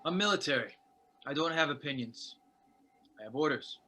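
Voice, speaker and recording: A man's voice: fairly high pitch, lively intonation, normal speed, pushed and bright. A man playing a terse, guarded military helicopter pilot with clipped, evasive delivery in a drama table read.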